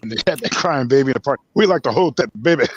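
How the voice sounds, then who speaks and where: A man's voice: medium pitch, expressive, racing, breathy edge, dry recording, lo-fi sound. A man chatting casually on a live stream, joking crudely and laughing often. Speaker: stream co-host.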